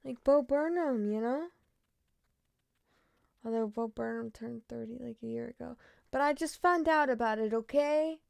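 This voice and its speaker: A woman in her thirties chatting casually on a stream, rambling with frequent laughs and asides, sometimes dropping to a soft murmur. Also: fairly high pitch, normal speed, vocal fry, pauses often. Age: 30s. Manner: drops to a soft murmur when talking to her pet. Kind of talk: casual stream chat reacting to a true crime case.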